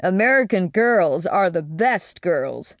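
A woman doing a gently amused gentleman. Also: on the low side, expressive, unhurried, no room sound, clean studio sound. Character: polite, amused American gentleman abroad.

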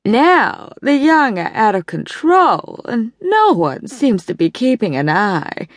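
A woman's voice: fairly high pitch, very animated, dry recording, studio quality, pitched above the performer's own voice. Woman putting on Evelyn, a disapproving Boston society lady. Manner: slow, disapproving drawl. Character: prim, judgmental middle-aged society lady.

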